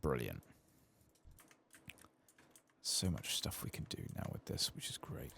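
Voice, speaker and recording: A man doing soft-spoken ASMR commentary as he plays a game, murmuring to himself in a low-key way. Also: very animated, unhurried, vocal fry, slight background noise. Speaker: game streamer, host of an ASMR playthrough. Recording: keyboard and mouse clicks behind voice.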